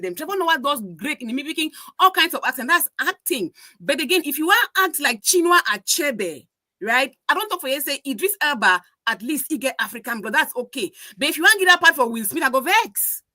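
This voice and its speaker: A woman's voice: sits high, bright, forceful tone, dry recording. A woman with broadcasting training chatting casually on a podcast. She is opinionated and slips into pidgin. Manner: opinionated, mixes pidgin into her English. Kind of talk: casual chat.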